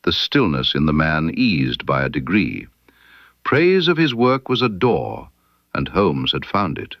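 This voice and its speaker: A man with a very low voice reads a mystery story in a slow, deliberate way. His pitch moves freely as he tells it. The recording is close and very dry. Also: sing-song, dry recording, highs cut off. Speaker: audiobook narrator. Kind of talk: scripted literary mystery narration.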